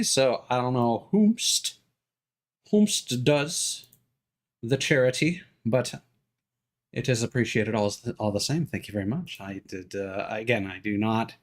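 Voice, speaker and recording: A man chatting casually on a live game stream in a halting, polite, slightly formal way. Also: medium pitch.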